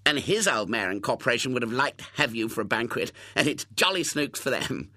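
A man's voice: mid-range voice, sing-song, fairly quick, bright, forceful tone, no room sound, clean studio sound. A man voicing a smug, spiteful young boy in a storybook, gloating and taunting.

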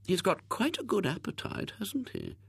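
A man's voice: high voice, huge pitch swings, moderate pace, creaky, a bit bright, slightly rough, voice pushed up, heavily acted. A man voicing genteel, gossiping aunts in a children's story, murmuring admiringly.

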